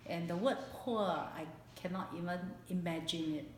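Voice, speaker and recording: A woman in her late fifties with a Singaporean accent recalls her life in a personal interview, speaking haltingly and repeating phrases for emphasis. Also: on the low side. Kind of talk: personal oral history interview. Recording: echoey room, background hiss.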